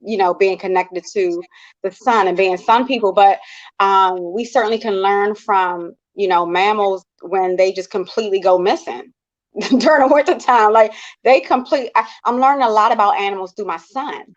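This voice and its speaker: A woman's voice: medium pitch, moderate pace. American woman, dull lo-fi call audio. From United States. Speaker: panel discussion participant. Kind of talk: casual conversational discussion. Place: online video call.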